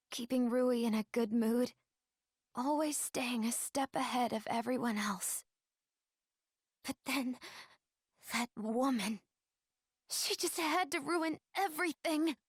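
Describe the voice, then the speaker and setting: A woman playing a bitter, self-pitying spider demon in an anime game, with a husky, resentful delivery. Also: fairly high pitch, slow pace, long pauses, no echo, clean studio sound.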